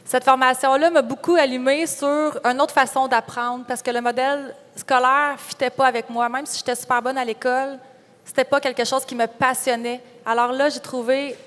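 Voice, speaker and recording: A woman's voice: sits high, fairly even intonation, normal speed. A young woman with a Quebec French accent giving a candid, informal personal account as a conference panelist. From Quebec, Canada. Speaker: panelist, farm entrepreneur. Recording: reverberant hall sound.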